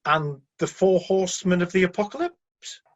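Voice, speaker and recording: A man's voice: sits high, expressive, moderate pace, lo-fi sound. A man acting in a radio play as a candid, doubtful heavenly aide, deferential and matter-of-fact toward the one in charge.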